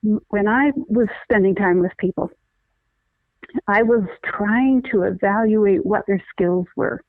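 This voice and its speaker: A woman's voice: medium pitch, no echo. Earnest woman explaining over a tinny phone line. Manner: earnest, many restarts, deliberate explaining. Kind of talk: conversational interview, explaining.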